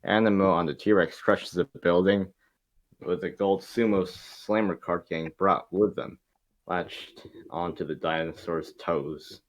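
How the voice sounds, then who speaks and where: A man's voice: low-pitched, steady tone, a little slow, no room sound, poor audio. A man narrating a table read, reading stage directions informally and stumbling over the script at times.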